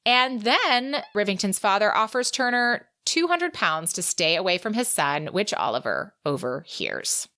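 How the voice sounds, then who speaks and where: A woman's voice: medium pitch, very animated, a little slow, hardly any pauses, sharp tone. A woman co-hosting a podcast, giving a casual book recap in a chatty, playful, exclamatory way. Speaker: podcast co-host.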